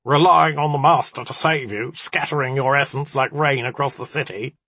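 A sly, sadistic rat-spirit with poison spines, performed by a man reading a storybook. The voice is very husky and lively in pitch, dark and gloating, always mocking. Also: unhurried, dry recording, full character voice.

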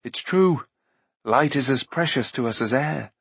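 A man's voice: medium pitch, lively intonation, unhurried, creaky, fairly bright tone, breathy edge, no room sound, full character voice. A man voicing an earnest, caring young hero in an audiobook, with a concerned manner. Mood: anxious, resolute.

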